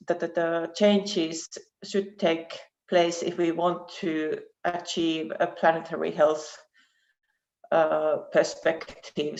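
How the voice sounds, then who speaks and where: A woman with a Finnish accent giving an academic presentation as a research professor over an online call, speaking haltingly with repeated words. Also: on the low side, a little mellow. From Finland. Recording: dull, muffled online call audio.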